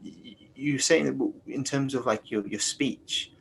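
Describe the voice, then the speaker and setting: A man's voice: medium pitch, normal speed, creaky, a little hiss or hum, low-quality recording. A man hosting an interview, reassuring his guest and rephrasing questions with many restarts. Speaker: interview host. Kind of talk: casual interview.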